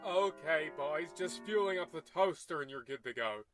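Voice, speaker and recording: A man's voice: on the high side, expressive, moderate pace, a bit bright, soft background music. A man playing a cheery, folksy shopkeeper in a comedy radio play, gawking at the action.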